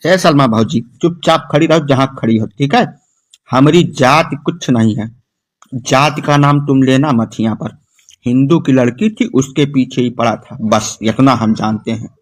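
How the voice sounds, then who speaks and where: A man's voice: mid-range voice, normal speed, natural voice. A man playing an assertive, intimidating villager in a comedy cartoon, curt and commanding, with a rural North Indian Hindi accent. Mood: menacing.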